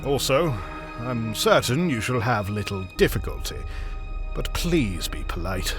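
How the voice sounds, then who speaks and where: A man's voice: medium pitch, sing-song, a little slow. A man voicing a senior grandmaster, instructive and dryly courteous, in a dramatised fantasy audiobook. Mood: measured, dry. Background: background music.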